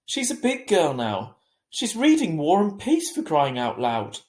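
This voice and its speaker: A man's voice: high voice, huge pitch swings, unhurried, a little mellow, echoey room, pitched above the performer's own voice, heavily acted. A man playing an impatient but affectionate father in a storybook reading, emphatic and insistent. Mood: exasperated.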